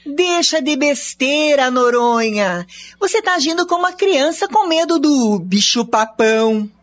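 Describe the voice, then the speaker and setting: A woman's voice: sits high, expressive, a little slow, highs cut off. An actress playing a devout, superstitious wife in a radio drama, chiding her husband. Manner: chiding, defensive.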